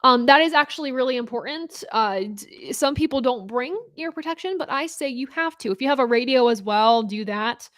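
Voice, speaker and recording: Young woman host, chatty live-stream talker, fairly high voice, dry close sound. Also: animated, a little fast, hardly any pauses, dry recording. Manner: chatty, upbeat, laughs often, many fillers and restarts. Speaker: host of a live racing talk show. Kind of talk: casual live-stream chat answering viewer questions.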